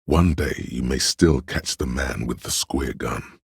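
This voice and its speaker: A man voicing a snobbish inner voice of artistic taste in a video game, gravelly and husky, with a pompous, deliberate, theatrical delivery. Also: rumbling low, no echo, clean studio sound, exaggerated voice. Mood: sardonic, disdainful. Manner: pompous, deliberate, disdainful, florid. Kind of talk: scripted game narration, theatrical.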